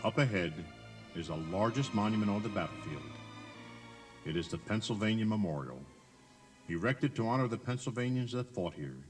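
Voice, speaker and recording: A man's voice: on the low side, lively intonation, normal speed. A man giving scripted, dramatized tour narration in an emphatic, theatrical storytelling manner. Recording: 1980s cassette tour tape, music behind.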